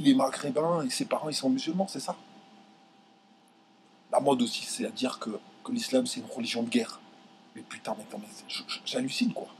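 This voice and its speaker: A young man from France ranting straight to his viewers, indignant and heated, stumbling over his words and pushing his voice up high when he gets angry. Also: a little hiss or hum, rough recording. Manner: indignant, voice jumps high when outraged, many restarts. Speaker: video commentator. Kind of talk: heated direct-to-camera rant.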